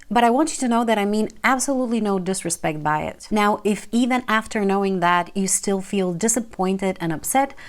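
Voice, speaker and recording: A woman with a Bulgarian accent, a native Bulgarian speaker, talking frankly and wryly as a book reviewer in a casual video. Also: mid-range voice. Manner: frank and wry, earnest.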